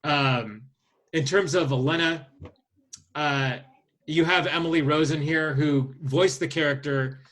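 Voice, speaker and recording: A man co-hosting a casual podcast, speaking in a halting, hesitant way. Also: a little high, steady tone, fairly bright tone. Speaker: podcast co-host.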